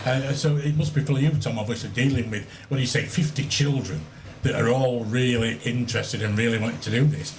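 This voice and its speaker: A man interviewing guests live on radio, talking in a chatty, slightly halting way with plenty of tag questions. His mid-pitched voice sits in an echoey space with clear background noise. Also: fairly even intonation.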